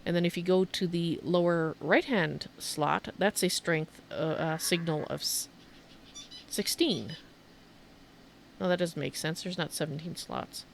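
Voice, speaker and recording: Lower-voiced woman giving casual game commentary. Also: unhurried, gravelly, slight background noise. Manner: chatty, informal, thinking aloud. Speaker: video game streamer giving a tour. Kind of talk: casual gameplay commentary and show-and-tell.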